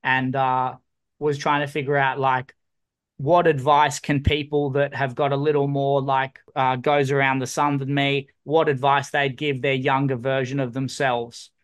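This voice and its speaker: A man's voice: mid-range voice, flat delivery, unhurried, fairly bright tone. A man, hosting an easygoing interview, talking in a reflective way with lots of fillers. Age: 20s. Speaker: podcast host. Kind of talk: casual interview chat.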